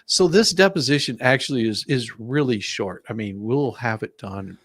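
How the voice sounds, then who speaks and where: A man's voice: medium pitch, expressive, normal speed, fairly bright tone. A man hosting a stream in casual, jovial, chatty talk. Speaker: stream host. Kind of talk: casual chat.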